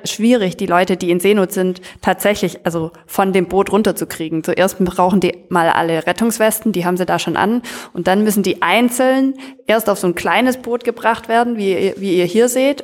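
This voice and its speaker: A woman from a sea rescue crew explaining step by step how a rescue works. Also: on the low side. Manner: plain, explanatory. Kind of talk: explaining pictures to an audience.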